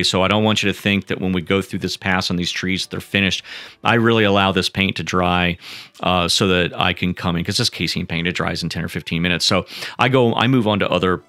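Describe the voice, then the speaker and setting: A low-voiced man talks his way through a painting lesson, rambling and chatty, with plenty of fillers and restarts. His tone is bright and forceful. The sound is dry and close. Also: dry recording. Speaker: painting instructor. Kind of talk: casual painting tutorial voice-over.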